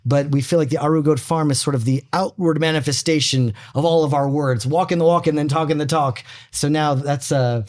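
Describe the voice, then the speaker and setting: A man's voice: fairly high pitch, fairly even intonation, fairly quick, hardly any pauses, darkish tone. A man from Atlanta, Georgia, living in Israel, co-hosting a casual panel talk as a reflective storyteller who starts hesitantly. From born in Atlanta, Georgia, USA, living in Israel. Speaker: co-host.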